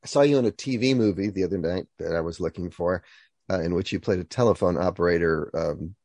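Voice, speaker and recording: A man hosts a radio interview in a low, mellow voice, recorded dry and close. He comes across as genial and chuckles often. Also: quick pace, dry recording. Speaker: radio host.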